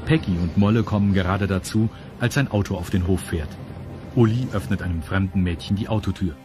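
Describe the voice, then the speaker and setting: A man's voice: low voice, brisk, over music. A man narrating a children's audio drama from a script.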